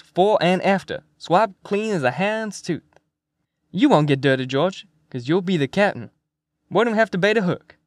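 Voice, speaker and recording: A man's voice: high-pitched, no echo, studio quality, pitched above the performer's own voice. A man voicing a brash, cajoling ringleader in an audiobook, hearty and pushy with colloquial talk. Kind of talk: acted audiobook character dialogue.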